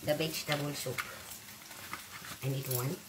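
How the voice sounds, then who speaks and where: Woman, Filipino accent, native Waray speaker, low voice, blunt, swears, rambling home cooking vlog, far from mic, loud frying noise. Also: steady tone, normal speed. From Philippines. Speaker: vlogger. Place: home kitchen. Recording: echoey room from far off, loud sizzling.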